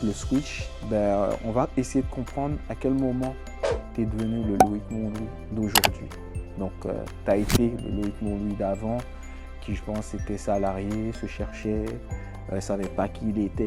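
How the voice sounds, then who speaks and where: A man hosting a podcast, chatting informally and often repeating his words and phrases. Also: fairly low pitch. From France, Paris suburbs. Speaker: podcast host. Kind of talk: casual interview chat.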